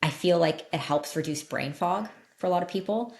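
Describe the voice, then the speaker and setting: A woman with a slightly deep voice, speaking as an expert guest in a candid, informal, emphatic way, explaining things in an interview conversation. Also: normal speed. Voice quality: slightly deep voice that cracks when loud. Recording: roomy echo.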